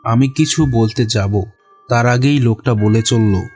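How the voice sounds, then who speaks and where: A man narrating a horror story in the first person with a deliberate, suspenseful, literary delivery. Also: mid-range voice, darkish tone, husky. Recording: music behind the voice.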